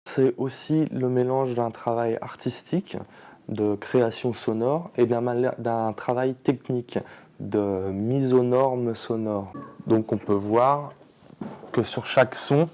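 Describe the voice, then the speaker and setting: Slow, mellow-voiced man explaining. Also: medium pitch. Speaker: sound post-production engineer. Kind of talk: explanatory interview.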